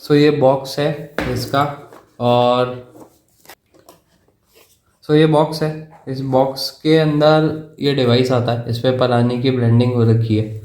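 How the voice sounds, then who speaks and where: A man giving a casual product explanation in a halting, repetitive way. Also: mid-range voice, fairly even intonation, a little mellow. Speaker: product reviewer. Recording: roomy, echoey sound.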